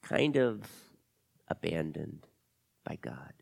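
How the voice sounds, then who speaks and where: A man's voice: medium pitch, lively intonation, brisk, gravelly, long reverb tail, voice pushed down. A male storyteller playing a sad, heartbroken orphan girl in a tale, confiding in a subdued, hesitant way.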